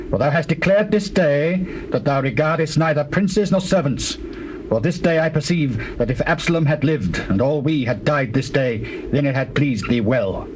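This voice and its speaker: An actor playing a stern military commander in dramatized scripture, speaking in forceful archaic oratory. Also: male voice, medium pitch, lightly acted. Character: stern military commander rebuking his king. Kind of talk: dramatized scripture, classical stage acting. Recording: noisy old cassette tape.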